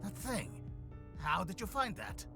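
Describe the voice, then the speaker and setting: Lively-pitched biker henchman over music. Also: measured pace, vocal fry, heavily acted. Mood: threatening. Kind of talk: video game voice acting.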